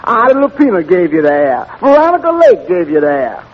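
Muffled old radio, wry high-voiced waiter. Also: male voice, wildly swooping pitch, a little fast, slightly rough, heavily acted. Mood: needling. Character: dry-witted waiter needling his boss. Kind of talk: 1940s radio comedy acting. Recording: muffled 1940s radio with faint noise.

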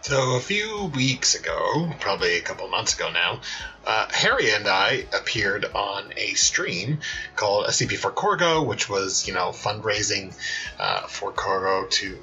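A man hosting a podcast, giving a casual, halting introduction in a conversational way on slightly lo-fi audio. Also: fairly low pitch, very animated, pushed and bright, soft background music. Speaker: podcast host.

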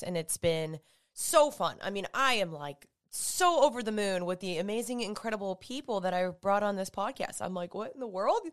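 A young woman, chatting upbeat on her solo podcast while stuffy and congested. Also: medium pitch. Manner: chatty, upbeat, with filler likes. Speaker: podcast host. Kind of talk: casual solo podcast talk.

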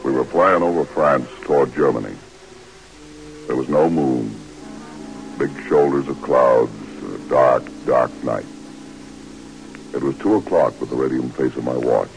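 An actor playing a gruff, terse airborne officer in a vintage radio drama, with a gravelly voice. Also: male voice, very deep voice, unhurried, pauses often, faint music behind, full character voice. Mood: grave, tense. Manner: terse, commanding. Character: gruff male airborne officer narrating a night parachute jump. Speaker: narrator and officer. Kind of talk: 1940s-50s radio drama acting. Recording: noisy old radio broadcast with engine drone.

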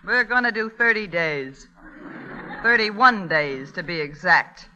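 A woman's voice: lowish voice, animated, slow pace, dull audio, loud music behind the voice. An actress playing a wry, outspoken young Ohio woman in a classic radio comedy. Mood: wry resignation. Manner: dry, outspoken, wisecracking. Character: wry, plucky young woman from Ohio, protective older sister.

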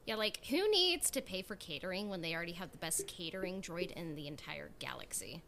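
A woman's voice: lowish voice, lively intonation, a little fast, gravelly, pushed and bright. A woman co-hosting a podcast, chatting casually in a playful, opinionated way. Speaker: podcast co-host. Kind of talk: casual chat.

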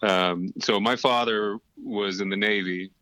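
A man's voice: lowish voice, steady tone, unhurried, pushed and bright, dry recording, rough recording. A middle-aged man, a podcast guest, telling his life story in casual chat, rambling and full of fillers.

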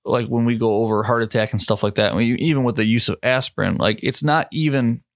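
A man's voice: mid-range voice, fast talker, no room sound, studio quality. Man co-host talking shop casually. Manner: conversational, loose with "like" and restarts. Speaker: podcast co-host and nurse. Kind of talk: casual medical chat.

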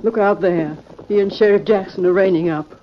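An actress playing an anxious aged rancher's wife in a radio western, folksy in manner. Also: female voice, medium pitch, brisk, natural voice. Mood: alarmed. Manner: folksy, worried. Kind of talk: 1940s radio western acting. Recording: dull, noisy old radio recording.

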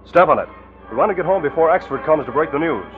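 An actor playing a self-assured, courteous gentleman boss in a 1940s-style radio drama. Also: on the high side, expressive, fast talker, over loud music, natural voice. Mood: brisk. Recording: muffled, humming old radio recording.

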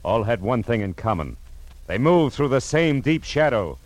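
A man's voice: mid-range voice, big pitch swings, moderate pace, heavy background noise, natural voice. A man with an American accent playing a tough, wry private detective in a 1940s radio crime drama, clipped and hard-boiled. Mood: hard, ominous. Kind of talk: 1940s radio crime drama acting, first-person narration. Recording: vintage radio recording with music behind.

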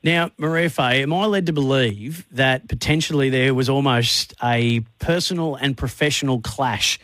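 A man's voice: medium pitch, moderate pace, fairly bright tone, dry recording. A man hosting a radio interview, enthusiastic, asking long build-up questions with fillers. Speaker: radio host.

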